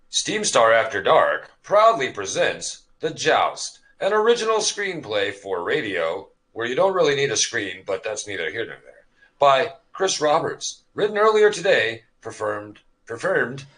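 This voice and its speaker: A man's voice: mid-range voice, big pitch swings, measured pace, sharp tone, low-quality recording. A man announcing a comedy show in a mock-grand style with joking asides.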